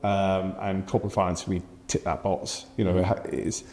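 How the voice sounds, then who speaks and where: A low, mellow-toned English man in his forties answers questions in a thoughtful, halting way. He fills gaps with ums and restarts as he works through his points. The recording sits in an echoey room. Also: level tone. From England. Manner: thoughtful, with frequent fillers and restarts. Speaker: podcast guest. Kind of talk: conversational interview answers.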